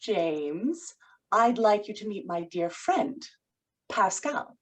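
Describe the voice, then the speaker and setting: A woman's voice: medium pitch, big pitch swings, takes it slowly. A woman playing a poised, romantic professional, patient but pointed, in a screenplay table read. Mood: pleasant.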